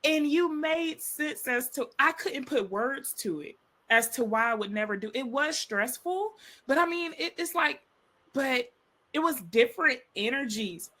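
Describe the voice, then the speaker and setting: A woman in her thirties with a high voice tells a personal story in relaxed podcast chat. She slips into quoting the people she talks about. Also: measured pace. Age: 30s. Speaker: podcast co-host. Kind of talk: casual chat.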